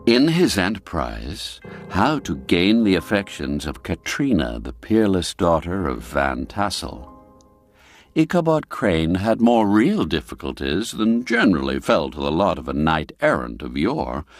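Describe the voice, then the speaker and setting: A man narrating a classic literary story in a measured, deliberate and expressive storytelling manner. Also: low-pitched, soft background music.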